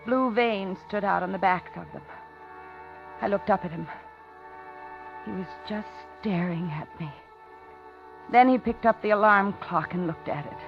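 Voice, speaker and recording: A woman's voice: medium pitch, close to an everyday voice. An actress playing a frightened, outwardly polite captive woman who narrates her ordeal breathlessly in a 1950s radio thriller. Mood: tense, hushed dread. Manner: dramatic, breathless first-person suspense narration. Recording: dull 1950s radio drama, loud music.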